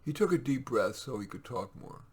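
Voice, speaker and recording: A man with a raspy, gravelly voice reads his own short stories aloud in a measured, expressive way, with casual asides. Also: mid-range voice.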